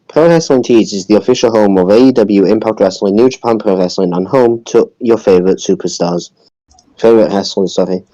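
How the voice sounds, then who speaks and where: A man speaks as a podcast co-host in a soft-edged, mellow voice on the low side. The recording is dull and close, with no room sound. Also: brisk, dry recording. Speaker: podcast co-host reading a sponsor message.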